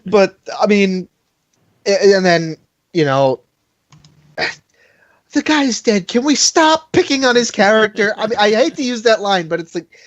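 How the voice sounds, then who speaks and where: Lively pitch swings, dry close sound, casual argumentative podcast co-host. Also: measured pace, pauses often, no echo, muffled recording. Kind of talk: casual fan discussion.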